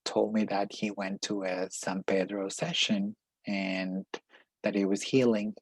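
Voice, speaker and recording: A man's voice: on the low side, steady tone, a little slow, breathy edge, no room sound, poor audio. A man with a Peruvian Spanish accent, a native Spanish speaker, sharing personal reflections in a spiritual vlog and searching for his words as he goes. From Peru. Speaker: life coach and energy healer.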